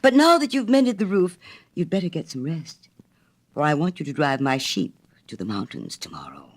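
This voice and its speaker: A woman's voice: low voice, sing-song, normal speed. A woman playing a smug, self-righteous male miller in a storybook reading, pompous and wheedling.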